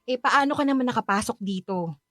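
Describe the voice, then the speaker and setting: A woman's voice: a little high, fairly quick, fairly bright tone, dry recording, studio quality, natural voice. A woman playing a sassy, grumpy young Filipina in radio drama dialogue, bantering sarcastically with a Filipino accent. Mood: grumpy, suspicious. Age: young adult.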